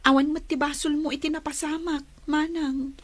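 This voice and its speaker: A woman's voice: high-pitched, flat delivery, normal speed, no echo, lightly acted. A woman playing an earnest, wronged young woman in a radio drama, with a Filipino accent. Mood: dismayed.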